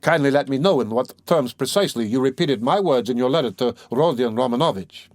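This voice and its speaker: A man's voice: medium pitch, a little fast, dry recording, voice pushed up, lightly acted. A man reading aloud, playing a pompous, touchy suitor who speaks stiffly and formally. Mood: pompous huffy. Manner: huffy, sententious, stiffly formal. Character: pompous, touchy middle-aged male suitor.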